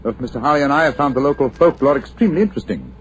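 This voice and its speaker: An actor playing a shrewd, calm male detective in an old radio drama, measured and precise. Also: mid-range voice, lightly acted, low-quality recording. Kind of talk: 1940s radio drama acting. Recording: old radio broadcast with hiss.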